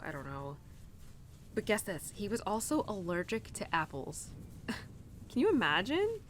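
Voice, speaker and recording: A woman's voice: lowish voice, slight background noise. A young woman playing an earnest, rambling cowgirl who confides in her horse in an intimate roleplay audio, hesitant and giggly, with stammers and restarts.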